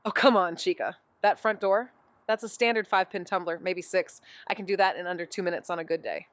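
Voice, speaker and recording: An actress plays a cocky young woman sleuth in a mystery audio drama. She has a clear, smooth voice in a close, dry recording. She is playful and glib, rambling on as she overexplains. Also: fairly low pitch, brisk, dry recording, lightly acted. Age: 20s. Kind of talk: naturalistic audio drama banter.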